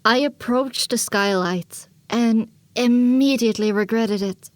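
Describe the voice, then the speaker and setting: A woman narrates a scripted story in the first person. Her voice sits a little high. The sound is dry, with little room around it. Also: measured pace, no echo.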